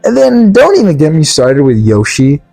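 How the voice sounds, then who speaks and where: Mellow exasperated reviewer ranting over music. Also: mid-range voice, huge pitch swings, gravelly. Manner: exasperated, halting, repetitive. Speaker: video reviewer. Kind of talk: casual opinionated movie rant.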